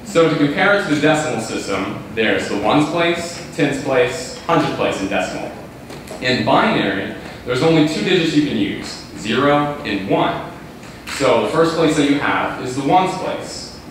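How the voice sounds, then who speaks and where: A teenage American man from Kentucky, a high school student giving a group presentation to an audience. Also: expressive, moderate pace, a bit bright. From Kentucky, United States. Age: teens, high school student. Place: large auditorium stage, far camera. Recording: echoey hall, far-off mic, heavy noise.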